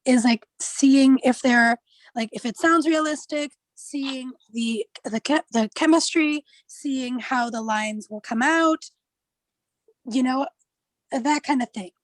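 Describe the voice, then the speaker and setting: A woman's voice: high-pitched, takes it slowly, no echo, rough recording. A woman in a casual class discussion, questioning and debating the points made. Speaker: participant in a film class group meeting.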